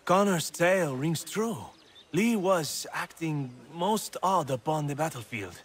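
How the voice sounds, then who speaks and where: A man's voice: fairly high pitch, animated, a little slow, slightly rough. A man acting a gracious, courtly officer speaking formally in a video game cutscene. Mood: earnest approval.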